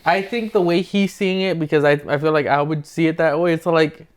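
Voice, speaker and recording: A male podcast host with a fairly high, very clear and smooth voice. He talks casually and slangily, arguing his opinions like he's chatting with a friend. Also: steady tone, fairly quick. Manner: informal, slangy, argumentative. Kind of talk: casual advice podcast banter.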